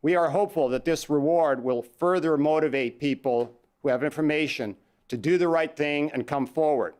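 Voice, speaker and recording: A man with a Canadian accent, a police chief giving a formal statement at a press conference, measured and deliberate. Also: a little high, darkish tone. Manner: measured, reads numbers out slowly.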